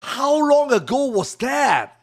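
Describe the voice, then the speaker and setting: A man streaming live who chats casually, swears freely and reacts loudly to his viewers, and he says he is losing his voice. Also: very high-pitched, sing-song, slow pace.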